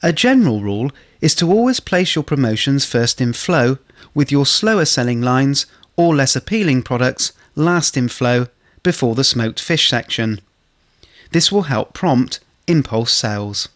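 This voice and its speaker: A man reading scripted instructional narration for a corporate training film, in a measured way. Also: medium pitch, very animated.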